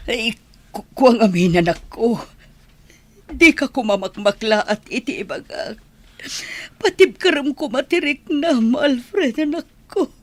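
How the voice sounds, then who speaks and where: A woman playing a worried, sorrowful mother of a grown son in a Philippine radio drama, speaking tenderly and haltingly through tears. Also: fairly high pitch, expressive, no room sound. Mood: hesitant, worried. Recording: radio drama with music underneath.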